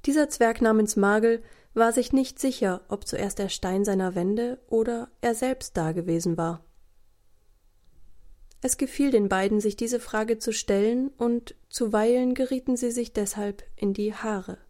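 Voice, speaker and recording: A woman narrating a children's audio story in a measured way, speaking directly to young listeners. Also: fairly high pitch, mellow, close to an everyday voice.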